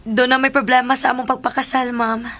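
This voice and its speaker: Radio drama role: eager Filipina teen bride-to-be, high voice, respectful, faint noise behind. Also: female voice, flat delivery, a little fast, a bit bright. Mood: troubled. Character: young woman, seventeen, eager to marry, respectful.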